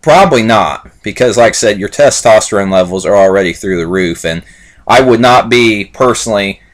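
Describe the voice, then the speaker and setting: A man's voice: fairly low pitch, a little fast. A man in his late twenties talking casually to camera in a rambling, repetitive way, with many fillers and "like I said". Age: 20s. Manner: loose and repetitive, lots of fillers and "like I said". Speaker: vlogger reviewing supplements.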